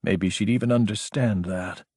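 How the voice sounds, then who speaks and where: A man's voice: fairly low pitch, fairly even intonation, moderate pace, darkish tone, slightly rough, no room sound, clean studio sound. A man narrating scripted dramatic fiction as an audiobook narrator.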